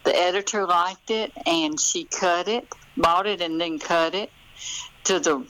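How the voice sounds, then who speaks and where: A woman's voice: fairly low pitch, unhurried, fairly bright tone, poor audio. An older American woman, telling stories conversationally as an author being interviewed.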